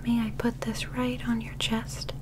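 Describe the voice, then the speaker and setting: A woman in her twenties performing a gentle, methodical ASMR medical roleplay as an alien examiner, reassuring and close to the microphone. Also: a little high, completely flat delivery, a little slow, noisy background. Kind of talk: soft whispered ASMR roleplay.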